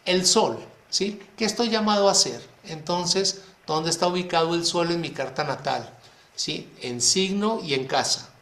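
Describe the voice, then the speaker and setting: A man leads an informal astrology lesson for a private group, walking through ideas one at a time and often asking questions aloud before answering them himself. His voice sits a little high for a man and is full of everyday fillers. The recording carries a noticeable roomy echo. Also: animated, unhurried, a bit bright. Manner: explanatory, many rhetorical questions and fillers. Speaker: astrologer teaching a workshop. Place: online talk for a private group.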